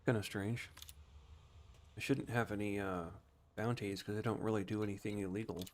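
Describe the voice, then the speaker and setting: A man's voice: fairly low pitch, steady tone, moderate pace. A man doing casual gameplay commentary, musing aloud and giving short voice commands. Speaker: gaming streamer. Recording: noisy, engine ambience behind voice.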